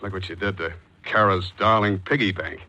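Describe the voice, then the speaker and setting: A hard-boiled private eye in a vintage 1940s radio drama. His voice is low and forceful, and he tells things in wry, tough slang. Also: steady tone, normal speed, highs cut off. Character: wisecracking hard-boiled male private detective. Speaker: lead actor in radio drama. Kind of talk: 1940s radio acting, first-person narration.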